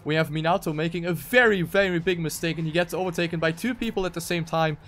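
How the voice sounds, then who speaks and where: Higher-voiced man commentating an esports race. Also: fast talker, fairly bright tone, a little hiss or hum. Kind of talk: live game commentary.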